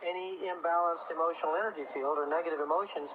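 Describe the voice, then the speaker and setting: A man's voice: on the high side, fairly even intonation, fast. A man speaking as an expert guest on a call-in radio show, explaining things in an earnest, assured, casual way. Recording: tinny, very noisy 1980s radio broadcast tape.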